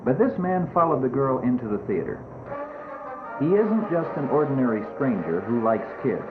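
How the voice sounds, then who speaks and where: Man, lively swooping pitch, admonishing narration for children, muffled old film soundtrack, music underneath. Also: moderate pace. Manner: admonishing, addressing children directly. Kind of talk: scripted educational film narration. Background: background music.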